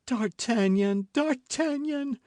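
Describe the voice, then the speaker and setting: A man voicing a desperate condemned young woman who shrieks defiance and pleads for her life, high and strained. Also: a little slow, dry recording, clean studio sound, natural voice. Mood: pleading. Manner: shrill, cries out in high strained pleas.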